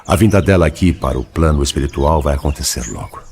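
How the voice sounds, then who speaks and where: Guy acting as a sad bitter dead dad spirit, low voice, measured audio drama delivery, noisy recording. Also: darkish tone. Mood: tender sadness. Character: sorrowful male spirit, bitter and resigned, devoted father. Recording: clearly noisy background.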